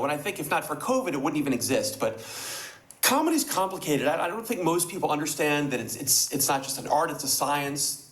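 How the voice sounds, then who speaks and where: A man in his forties with an American accent chats as a comedian guest on a video call. His voice is slightly high for a man, and he talks in a casual but earnest way. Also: poor audio. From United States. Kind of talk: casual interview talk. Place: small indoor room.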